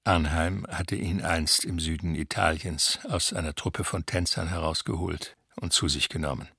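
An extremely deep male voice narrates literary prose in a radio play. It is raspy and forceful, read from a script and recorded dry. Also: steady tone, moderate pace, no echo.

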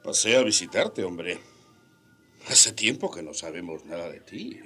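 A man's voice: low voice, sing-song, unhurried, pushed and bright, slightly rough, exaggerated voice. An actor playing a brash, scheming Spanish colonial captain in a radio drama, blustering and needling as he talks. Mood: teasing reproach.

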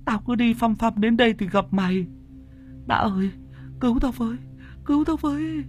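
A man's voice: extremely high pitch, lively intonation, normal speed, soft background music, pitched above the performer's own voice. A man voicing a terrified young man in a spoken horror story, stammering and pleading for help.

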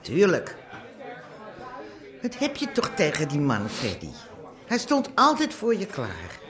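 A woman's voice: low voice, wildly swooping pitch, takes it slowly, crowd noise, heavily acted. An actress playing a motherly, nagging middle-aged café landlady in a radio drama. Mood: coaxing, concerned.

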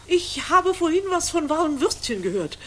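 A woman's voice: high voice, big pitch swings, moderate pace. An actress playing a vivacious, teasing society lady in a German radio drama.

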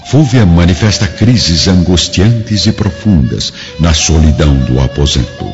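A man with a very deep voice narrates a radio drama solemnly. His voice carries a long reverb, with music playing clearly behind it. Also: lively intonation, unhurried, a little mellow, lo-fi sound.

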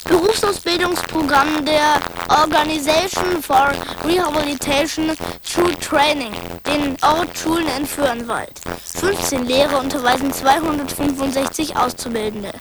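Documentary narrator: woman, high voice, steady recitation, noisy backdrop. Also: unhurried. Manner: even, list-like recitation. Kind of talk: scripted documentary reading. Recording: noisy background ambience.

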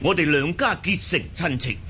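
An actor playing a hearty, paternal old khan in a Cantonese radio drama, with a husky voice and a weighty manner. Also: male voice, fairly high pitch, normal speed, pushed and bright, a little hiss or hum. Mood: hearty, grateful.

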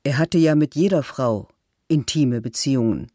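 A man reading a first-person statement dramatically, in a measured, deliberate way. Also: mid-range voice, mellow, dry recording, studio quality.

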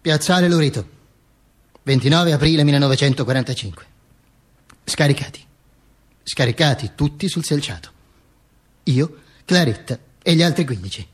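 A male actor playing a dead dictator's corpse as a bombastic, sardonic, macabre storyteller in a theatrical radio monologue, declaiming with halting stammers. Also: on the high side, lively intonation, husky. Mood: grim.

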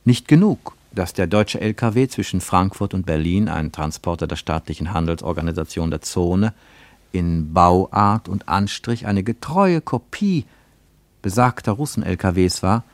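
Male narrator for a literary radio play: fairly deep, measured storytelling, dry studio sound. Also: animated, no echo.

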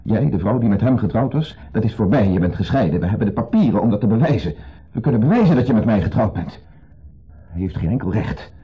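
An actor playing an insecure, jealous husband in a 1970s radio play, accusing, brooding and sardonic. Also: male voice, lowish voice, lively intonation, fast, slightly rough. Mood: bitter, agitated. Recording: muffled phone-like old radio recording.

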